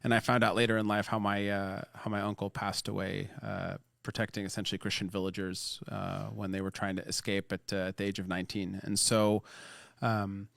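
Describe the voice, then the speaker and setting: Man, 30s, from Lebanon, pollster guest, low smooth voice, dry close sound. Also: fairly quick, no room sound. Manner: earnest, steady storytelling with fillers. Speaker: political strategist and pollster, guest. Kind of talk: interview answers.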